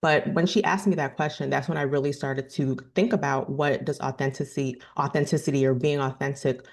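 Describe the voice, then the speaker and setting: Woman, low deep voice, thirties, earnest, reflective, self-correcting, personal story to camera. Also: steady tone, brisk. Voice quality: deep register. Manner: earnest, thoughtful, with frequent self-corrections and "you know". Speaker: vlogger.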